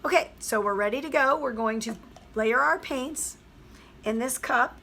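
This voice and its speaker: A hoarse woman, a teacher, chattily explaining a craft project step by step. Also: fairly high pitch, unhurried, sharp tone, a little hiss or hum. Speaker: craft tutorial host. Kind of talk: craft tutorial voice-over.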